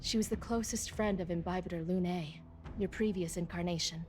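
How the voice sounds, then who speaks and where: Game role, female actor: dutiful, world-weary officer, matter-of-fact exposition in a lower register, music behind. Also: fairly even intonation, moderate pace, a little mellow, lightly acted. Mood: grave, weary. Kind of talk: English video game dialogue.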